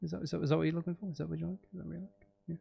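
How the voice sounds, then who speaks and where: A man's voice: fairly high pitch, flat delivery, very fast, vocal fry, husky, highs cut off. A man chatting casually as a livestream host, with playful, teasing, rambling banter and self-deprecating humour. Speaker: livestream host chatting with viewers while playing a game. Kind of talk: casual livestream chat with gameplay commentary.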